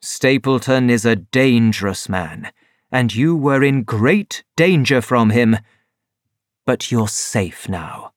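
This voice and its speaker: A reader voicing a composed male detective who explains and gives orders in measured tones. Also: medium pitch, no echo, studio quality. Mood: calm. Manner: calm, measured, authoritative. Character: calm, commanding male detective.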